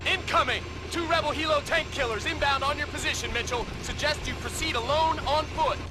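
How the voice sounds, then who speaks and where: An actor playing a military officer who briefs troops in a brisk, emphatic way for a video game. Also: male voice, very high voice, noisy background. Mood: urgent. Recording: loud music behind the voice.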